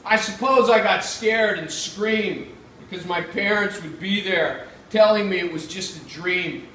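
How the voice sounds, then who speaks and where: American guy doing a live literary reading, kinda high voice, super echoey and far from the mic. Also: unhurried, sharp tone. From Pittsburgh, United States. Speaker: writer reading own work. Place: indoor reading event. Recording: echoey room, far mic, faint noise.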